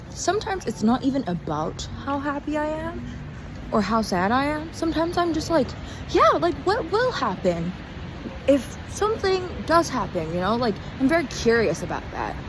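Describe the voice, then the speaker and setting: Casting: young woman vlogger, higher-pitched and very lively intonation, candid stop-start rambling, recorded outside amid clear street noise. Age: young adult. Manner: halting, self-interrupting, candid. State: physically tired. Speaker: vlogger and acting student. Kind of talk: casual rambling video diary. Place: outdoors on an urban walk. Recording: outdoor street noise, passing cars. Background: traffic and city ambience.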